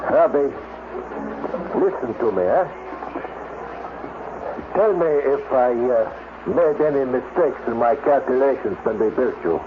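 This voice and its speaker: A man's voice: medium pitch, animated, measured pace, long pauses, natural voice. A male actor plays an ambitious, wry mathematician in 1950s radio science fiction. His mid-range voice moves up and down freely. The recording is tinny and noisy. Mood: businesslike. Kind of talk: 1950s radio drama acting.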